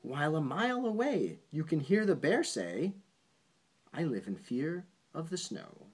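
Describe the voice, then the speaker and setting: A cautious talking river from a bedtime poem, read aloud by a man. It speaks in husky, lilting rhymes. Wary and watchful, it keeps an eye on the bear. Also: a little high, very animated, measured pace, pitched above the performer's own voice, exaggerated voice. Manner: sing-song rhyming.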